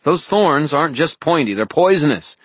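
Male narrator as young woman spellcaster, wary and tart, raised voice, urgent warnings, husky edge, audiobook dialogue. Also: on the high side, lively intonation, normal speed, dry recording, pitched above the performer's own voice. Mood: warning, insistent. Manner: sharp, urgent delivery with pointed warnings.

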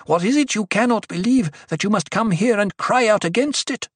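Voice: mid-range voice, takes it slowly, no room sound, voice pushed up. A narrator voicing a fierce young prophetess in an audiobook, commanding and intoning cryptic pronouncements to a crowd. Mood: sharp, angry. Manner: commanding, ritual intoning that turns sharp.

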